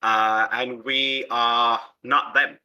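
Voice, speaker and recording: A man's voice: medium pitch, flat delivery, extremely slow, bright, forceful tone, clear, resonant tone, muffled recording. A man chatting casually with a group as a tabletop roleplay player, building a game world together.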